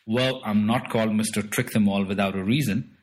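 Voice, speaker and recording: A man's voice: fairly low pitch, fairly even intonation, brisk, lightly acted. A man voicing a boastful little alien trickster who is playful, bossy and fond of made-up words, in a storybook. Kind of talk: storybook character voice.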